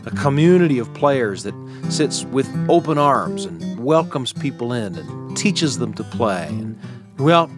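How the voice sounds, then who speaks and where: An American man hosting a storytelling radio show, narrating earnestly from a script with dramatic pauses. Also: medium pitch, very animated, music in the background. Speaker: radio host.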